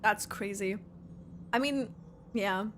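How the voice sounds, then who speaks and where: A woman's voice: mid-range voice, slow pace, fairly bright tone, a little hiss or hum. A woman streamer reacting live to a game, chatting and thinking aloud expressively.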